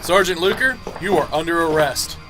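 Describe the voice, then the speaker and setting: Guy doing a smug no nonsense undercover cop, radio drama, higher voice, faint music behind. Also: expressive, moderate pace, natural voice, rough recording. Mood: curt, commanding. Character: brisk, no-nonsense male undercover police officer, smug. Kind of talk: amateur radio drama acting.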